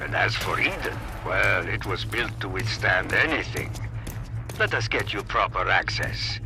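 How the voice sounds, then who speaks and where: A man playing an eccentric, scheming old scientist in a video game, with halting, theatrical delivery and florid wording. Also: sits low, animated, noisy background. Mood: calm, sly. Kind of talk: video game cutscene acting.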